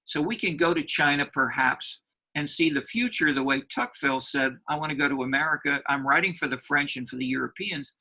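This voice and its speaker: A man lecturing a university class, halting often and repeating words as he restarts his sentences. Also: fairly high pitch, bright, forceful tone, low-quality recording. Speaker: university professor.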